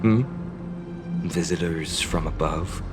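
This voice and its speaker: An actor playing an earnest, guarded young male warrior in a fantasy video game, speaking in a measured, polite way. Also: a bass voice. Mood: wary, firm. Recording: music behind the voice. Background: game music.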